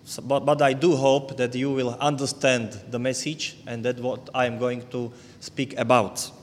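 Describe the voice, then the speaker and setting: A man with a Slovak accent, Slovak his first language, speaking haltingly with many fillers and restarts as a visiting preacher addressing a church. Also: mid-range voice, little pitch movement, a little hiss or hum. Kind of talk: church talk. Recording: long hall reverb.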